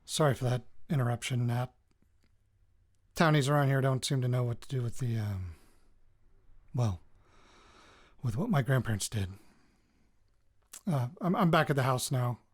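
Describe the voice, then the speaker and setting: A man's voice: mid-range voice, moderate pace, pauses often, close to an everyday voice. Wry, hesitant American radio reporter played by a man. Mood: musing, wry. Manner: hesitant, self-interrupting, wryly joking. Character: rattled, wry young man, radio reporter returning home. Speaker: radio reporter recording audio notes. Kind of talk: audio drama, naturalistic rambling recorded diary.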